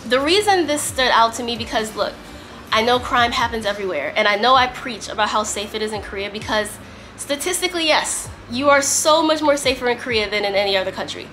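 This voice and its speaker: Bright, high-voiced woman, slightly congested. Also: expressive, a little fast, faint music behind. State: congested, getting over a cough. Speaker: vlogger, host. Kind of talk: casual sit-down chat to camera, some reading aloud.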